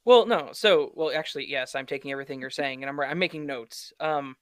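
A man co-hosting a podcast who asks halting, self-conscious questions. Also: fairly high pitch, fairly bright tone, no room sound, clean studio sound. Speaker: podcast co-host. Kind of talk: casual podcast chat.

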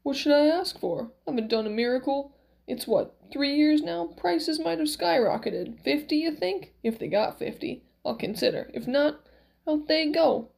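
A woman's voice: sits high, animated, moderate pace, creaky, darkish tone, pitched above the performer's own voice. A woman voicing a cranky, stubborn old man who haggles and grumbles in an audiobook story, brusque and colloquial. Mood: grumbling.

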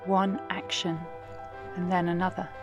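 An actress playing an earnest, hopeful present-day activist mother, giving a measured, idealistic, poetic monologue in an audio drama. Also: fairly low pitch, monotone. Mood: quiet, hopeful. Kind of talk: reflective first-person monologue in audio drama. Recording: music underneath.

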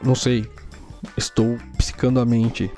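Brazilian male podcaster, gravelly and mellow, loose conversational monologue. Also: medium pitch, level tone, unhurried, faint music behind. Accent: Brazilian Portuguese. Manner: chatty, rambling, frequent "né?" tags. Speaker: podcast host. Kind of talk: casual solo podcast monologue. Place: home in a small town.

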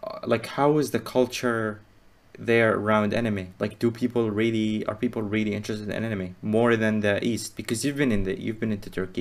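A man hosting a casual chat, asking long rambling questions full of fillers. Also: on the low side. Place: Qatar.

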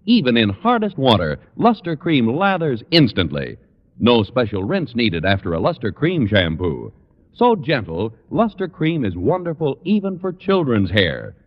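A man's voice: medium pitch, huge pitch swings, moderate pace. An American man reading sponsor announcements as a 1940s radio announcer. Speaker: commercial announcer. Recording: muffled, reverberant vintage radio recording.

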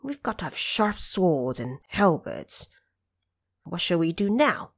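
Audio drama: shrewd, bold male monkey leader played by a woman, deliberate, expressive, authoritative. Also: lowish voice, slightly rough, full character voice. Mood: thoughtful, concerned.

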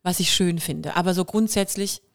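A woman's voice: on the low side, moderate pace. A woman from Kirrlach in Germany, an actress and singer, telling stories as a guest in a casual podcast interview, with a light hint of Kurpfalz dialect. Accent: light Kurpfalz dialect touches. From Germany, Kirrlach near Waghäusel. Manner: lively storyteller, imitates dialect sounds.

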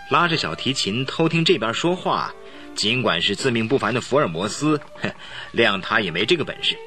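A smug scheming gang boss. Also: male voice, medium pitch, big pitch swings, moderate pace, bright, forceful tone, soft background music. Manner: smug, calculating, chuckling. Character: young count, cold scheming gang boss. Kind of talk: radio drama acting.

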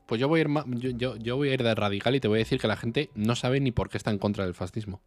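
A man's voice: medium pitch, quick pace, dry recording, soft background music. A man with an accent from Spain co-hosting a casual debate podcast, probing with hypothetical questions in colloquial speech. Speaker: podcast co-host.

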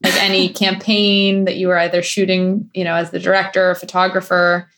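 A woman interviewer asking friendly, quick follow-up questions. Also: lowish voice, level tone, a bit bright.

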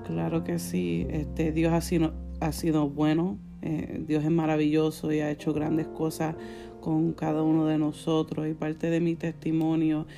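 A young woman with a Puerto Rican accent speaks in a soft-edged, mellow voice. She chats casually and cheerfully in a testimonial style, with gentle guitar music playing faintly behind her. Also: deep voice, completely flat delivery, measured pace, gravelly. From born in Puerto Rico, raised in the United States. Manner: cheerful, given to laughing. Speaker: podcast co-host, church worship leader.

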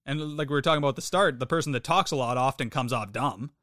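A man's voice: mid-range voice, fast, a bit bright, no room sound. A Canadian man chats in a mid-range voice. He is agreeable and thoughtful, and he often affirms what his co-host says. The recording is dry and close. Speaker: podcast co-host. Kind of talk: casual conversational podcast.

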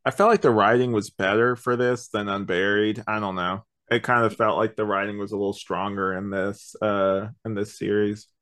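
A man's voice: lowish voice, steady tone, moderate pace, few pauses, fairly bright tone, no echo, clean studio sound. A young man co-hosting a podcast, giving a casual, rambling conversational review. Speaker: podcast co-host.

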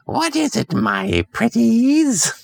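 A man's voice: extremely high pitch, very animated, slow pace, vocal fry, pitched above the performer's own voice, exaggerated voice. A man voicing a sly, gloating old female space witch captain in a bedtime story, with a cackling voice.

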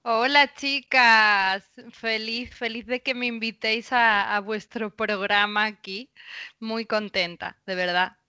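A woman in her thirties with a Spain Spanish accent, a podcast guest talking casually and thoughtfully, pausing often with fillers. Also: on the high side, fairly even intonation, sharp tone, clean tone, dry recording. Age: 30s. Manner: thoughtful, pauses with frequent fillers. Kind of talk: casual interview talk.

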